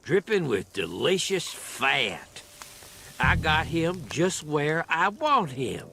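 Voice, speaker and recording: An actor playing a folksy, scheming agent in a video game. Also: male voice, a little high, very animated, slow pace, vocal fry, sharp tone, slight background noise, full character voice. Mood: gloating. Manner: drawling, gloating, folksy.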